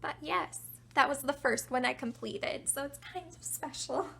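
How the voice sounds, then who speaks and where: A young woman casually talking through her collection, chatty and self-deprecating, her voice getting raspy from talking a while. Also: sits high, moderate pace, bright, forceful tone. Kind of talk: casual show-and-tell narration.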